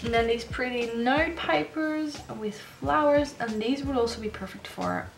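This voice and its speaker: A young woman whose first language is Dutch, chatting excitedly in English as she opens mail at her desk at home, often breaking off and restarting. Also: fairly high pitch, measured pace, creaky, background noise. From Netherlands. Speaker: stationery vlogger. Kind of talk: casual unboxing chat.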